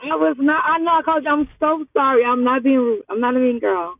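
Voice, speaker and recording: A woman's voice: high-pitched, fairly even intonation, fast talker, no room sound. A woman in her twenties calling in, chatting casually in a flustered, evasive, slangy way. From Louisiana. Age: 20s. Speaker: caller. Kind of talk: casual phone chat. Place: phone call from a parked car. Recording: muffled, phone-like call audio.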